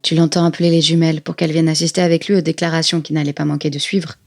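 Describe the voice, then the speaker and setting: A woman's voice: low voice, flat delivery, very fast, vocal fry, a little mellow. A woman narrating audio fiction as one of a chorus, in a sober, restrained manner.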